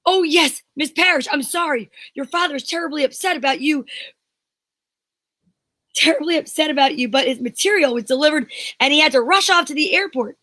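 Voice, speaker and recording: A woman's voice: high voice, fairly quick, sharp tone, dry recording. A woman playing a flustered, puzzled male police inspector in an old-time radio detective drama, chatty and exclamatory. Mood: relieved, apologetic.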